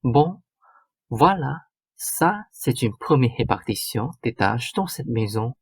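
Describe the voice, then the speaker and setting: A man's voice: medium pitch, animated, takes it slowly, slightly rough, no room sound, clean studio sound, heavily acted. A man playing a bossy, short-tempered stepmother in a radio drama, curt and lecturing. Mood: angry, menacing. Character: domineering, short-tempered stepmother laying down the law. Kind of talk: stage-like radio drama acting.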